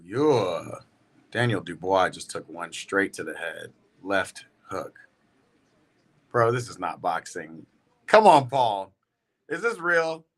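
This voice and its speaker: A man's voice: sits low, big pitch swings, slow, fairly bright tone. Slangy, tired man hosting a watch party. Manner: slangy and laid-back, calls viewers bro and y'all. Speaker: livestream watch-party host. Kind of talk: casual livestream chat with viewers.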